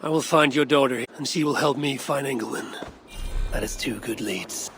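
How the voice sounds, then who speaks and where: An actor playing a determined, self-assured Viking warrior in a video game, speaking in a terse, purposeful way. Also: male voice, mid-range voice, moderate pace, a bit bright, natural voice. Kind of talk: video game character acting. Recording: music behind the voice.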